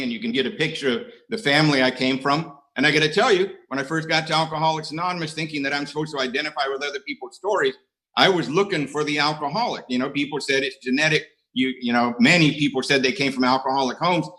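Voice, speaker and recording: A man's voice: a little high, bright, forceful tone, low-quality recording. A middle-aged American man sharing his recovery story at an AA meeting, with dry, self-mocking humor and frequent hesitations. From United States. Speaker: AA speaker. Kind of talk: recovery share talk. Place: online conference.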